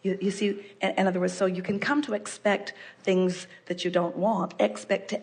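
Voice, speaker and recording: An older woman giving a measured, explanatory seminar talk, referring to herself in the third person. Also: medium pitch, little pitch movement, darkish tone. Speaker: spiritual speaker answering a guest. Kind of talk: live seminar channeling talk.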